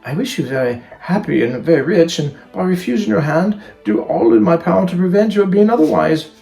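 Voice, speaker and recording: A man's voice: high-pitched, expressive, a little fast, vocal fry, warm tone, soft background music, voice pushed up. A man voicing a silly, pompous suitor from a storybook, speaking in flowery, self-important phrases. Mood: pompous, effusive. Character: silly, pompous clergyman-like suitor, heir to an estate.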